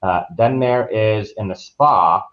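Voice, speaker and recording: A man's voice: fairly low pitch, slow pace, lo-fi sound. A man giving casual, easygoing, anecdotal answers as an interview guest. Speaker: travel agent, interview guest.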